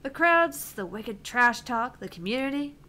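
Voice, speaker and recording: A woman's voice: fairly high pitch, animated, unhurried, bright, forceful tone. A woman voicing a brash, cocky, foul-mouthed female game streamer in a visual novel, with taunting, theatrical delivery. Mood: boastful, eager. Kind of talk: visual novel read aloud in character.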